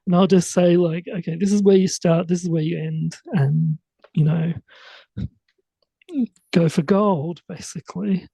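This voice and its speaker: A man's voice: on the high side, fairly even intonation, unhurried, vocal fry, pauses often, dark tone, husky, no echo. A man, a writer-director guest chatting casually in an interview, rambling and full of fillers. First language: English.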